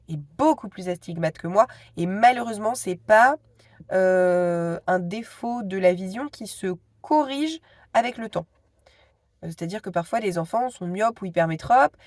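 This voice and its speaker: A woman's voice: medium pitch, no room sound, clean studio sound. A woman in her thirties with a cold, chatting casually to her phone camera at home, hesitant and full of asides. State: stuffy with a cold. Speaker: vlogger.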